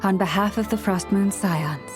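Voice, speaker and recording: An actress playing a gentle, scholarly young priestess in a video game, speaking in a formal, measured, courteous way. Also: female voice, mid-range voice, close to an everyday voice. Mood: gracious, courteous. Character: gentle, scholarly young woman, a nature-loving moon priestess. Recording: music behind the voice. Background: background music.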